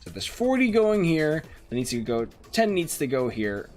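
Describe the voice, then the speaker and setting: A man's voice: fairly high pitch, big pitch swings, normal speed, darkish tone, faint music behind. A young man from the Netherlands with a Dutch accent, streaming a game and chatting casually, rambling with many fillers as he thinks aloud. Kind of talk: casual let's-play chat, reading chat and game text aloud.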